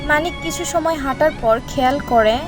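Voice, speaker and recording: Female narrator, high voice, scripted cartoon storytelling, clear music bed. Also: brisk.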